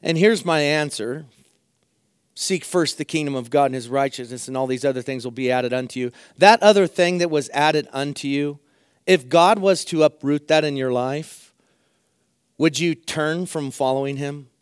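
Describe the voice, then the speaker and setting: Man, middle-aged pastor, hoarse voice, earnest sermon, dry close sound. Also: a little high, moderate pace, no echo. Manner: earnest, repeats phrases for emphasis. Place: church sanctuary at a pulpit, indoors.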